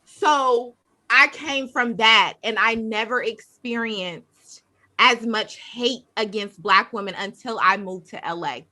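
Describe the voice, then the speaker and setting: Bright-voiced emphatic woman. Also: fairly high pitch, slow. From Ohio. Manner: emphatic, repeats points for effect. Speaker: podcast guest. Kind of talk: casual chat.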